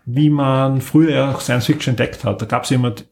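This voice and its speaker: Male, medium pitch. Podcast co-host, informal, enthusiastic, loosely rambling delivery. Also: fairly quick, gravelly, a little mellow. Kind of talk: casual podcast chat, recommending audio dramas.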